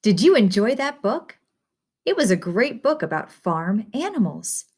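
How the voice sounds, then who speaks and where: A woman reading a children's picture book aloud in a simple, deliberate, instructive way, putting questions to the listener. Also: mid-range voice, big pitch swings, darkish tone, breathy edge.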